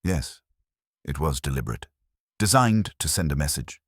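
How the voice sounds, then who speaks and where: A man plays an imperious master detective in an audiobook mystery. The voice is very deep and dry, with lively pitch movement. Each pronouncement is formal, clipped and cerebral. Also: normal speed, breathy edge, dry recording, full character voice. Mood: grave, deliberate.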